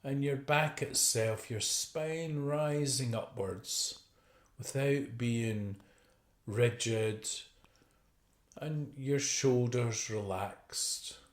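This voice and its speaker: A man leading a guided meditation as a teacher, speaking gently and unhurriedly with long pauses. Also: medium pitch. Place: indoor room. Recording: roomy, echoey video call recording.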